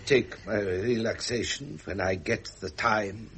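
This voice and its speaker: An actor playing a wry middle-aged prince with an upper-class British accent, in period radio drama style. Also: male voice, lowish voice, steady tone, measured pace, a bit bright, breathy edge, full character voice. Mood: wry, guarded. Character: frustrated, genial middle-aged prince and uncle. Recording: muffled vintage radio recording.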